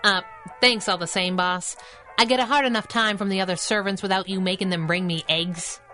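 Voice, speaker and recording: A woman's voice: mid-range voice, steady tone, fairly quick, pushed and bright, faint music behind, close to an everyday voice. A woman playing a sassy young crimefighting sidekick in a 1930s-style radio serial, breezy and wisecracking with period slang. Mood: playful teasing.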